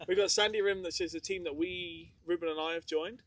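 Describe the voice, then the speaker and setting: A man's voice: high voice, steady tone, a little slow, a bit bright, breathy edge. A man co-hosting a podcast, chatting casually and rambling with lots of ums. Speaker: podcast co-host. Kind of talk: casual group chat. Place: outdoors in the desert.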